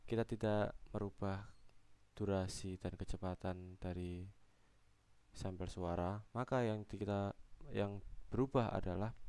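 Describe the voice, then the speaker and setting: A young adult man giving an explanatory student presentation, halting and going step by step. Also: sits low, warm tone.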